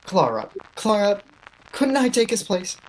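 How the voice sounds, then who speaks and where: A woman's voice: medium pitch, sing-song, unhurried, a little mellow, rough recording. A woman playing an ardent young male shop clerk in an old-time radio play. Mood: ardent, pleading.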